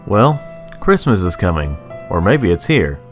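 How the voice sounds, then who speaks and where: A man's voice: low voice, big pitch swings, unhurried, faint music behind. A man hosting a game review show, narrating a scripted review in rhyme.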